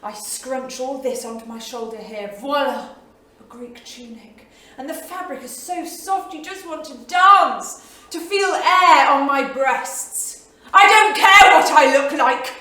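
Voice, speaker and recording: An actress playing a spirited, romantic 1930s wife in a dramatic staged reading. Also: female voice, high voice, measured pace, bright, forceful tone. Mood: playful, exuberant. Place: small theatre studio. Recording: echoey theatre, distant mic, faint noise.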